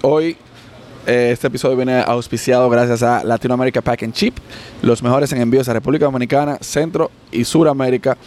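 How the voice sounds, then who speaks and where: A man's voice: mid-range voice, fairly even intonation, brisk, darkish tone. A man hosting a casual podcast, who teases and jokes with his guests as he questions them. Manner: teasing, joking interviewer. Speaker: podcast host.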